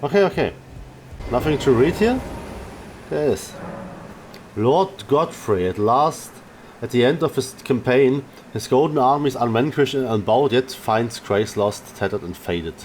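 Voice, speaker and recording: A man's voice: medium pitch, lively intonation, a little slow, a little mellow, slight background noise. A man giving casual playthrough commentary on a fantasy game, with short informal reactions and reading of on-screen text. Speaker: gamer playing through a fantasy game. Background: game music.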